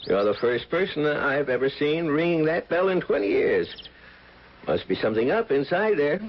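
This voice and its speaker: A man's voice: on the high side, sing-song, moderate pace. Swooping, nosy male neighbour. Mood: gossipy, stubborn. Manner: folksy, chatty, colloquial. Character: nosy, stubborn working-class neighbour walking his dog. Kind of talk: old-time radio drama acting. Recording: lo-fi old radio recording with hiss.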